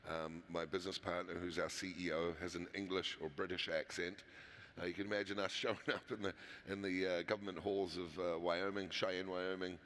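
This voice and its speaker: A man's voice: low-pitched, flat delivery, fairly quick, a bit bright. A man with a New Zealand accent, a company founder talking casually in an interview, with frequent fillers and restarts. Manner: conversational, many fillers and restarts. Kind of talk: conference interview. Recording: long hall reverb.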